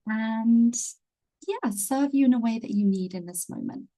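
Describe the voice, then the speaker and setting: A woman hosting a live online healing webinar, easygoing and gently encouraging, speaking over a video call. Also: fairly high pitch, a little slow, soft background music. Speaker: webinar host and healing teacher.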